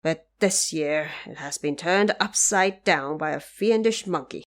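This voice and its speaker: A woman voicing a solemn, worried male emperor of heaven in an audio drama, with slow, stately, formal speech. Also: low-pitched, voice pushed down. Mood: aggrieved.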